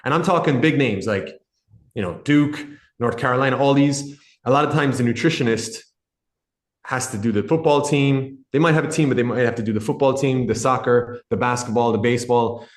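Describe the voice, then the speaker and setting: A man in his thirties speaks with a blended Irish and American accent, chatting in a relaxed, talkative way. His voice sits at an ordinary pitch and rings in a noticeably echoey room. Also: fairly quick. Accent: Irish with some American. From Ireland. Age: 30s. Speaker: podcast guest, sports nutritionist. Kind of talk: casual podcast conversation.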